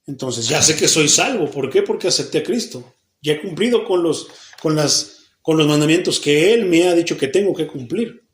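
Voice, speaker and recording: Mexican pastor in an echoey church hall. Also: male voice, fairly high pitch, moderate pace. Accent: Mexican Spanish. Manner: asks the congregation questions and answers them himself; acts out the people he quotes, even mock babbling. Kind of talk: Bible study sermon.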